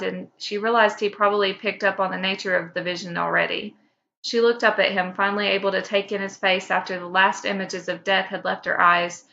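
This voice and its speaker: A woman podcast host speaks at a middling pitch. Her voice is bright and sharp, though the recording is a little dull and lo-fi. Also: gravelly, a little hiss or hum. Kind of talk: casual banter.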